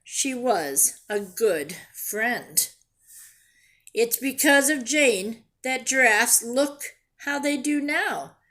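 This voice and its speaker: Slow-paced woman reading to young children. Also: high-pitched, fairly bright tone. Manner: pauses to ask simple questions and explain words. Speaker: children's storyteller and teacher. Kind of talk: read-aloud of a picture book for young children.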